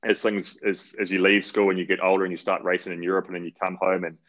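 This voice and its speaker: Deep-voiced New Zealand man, lo-fi. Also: fast. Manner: relaxed, rambling, repeats words. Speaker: guest, cyclist. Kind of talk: casual interview talk. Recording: lo-fi, dull audio.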